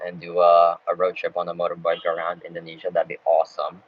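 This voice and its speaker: Low-voiced man. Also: fast talker. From Ukraine, grew up in the United States. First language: Russian. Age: 20s. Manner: relaxed, chatty, laughs between answers. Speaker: travel YouTuber. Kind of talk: live Q&A, reading out and answering chat comments. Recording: dull lo-fi sound with faint background noise.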